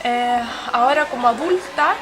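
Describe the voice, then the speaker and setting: Young woman, Chilean Spanish accent, Swedish first language, slightly higher pitch, hesitant interviewee. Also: normal speed, rough recording. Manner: thoughtful, with frequent hesitations. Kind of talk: casual interview.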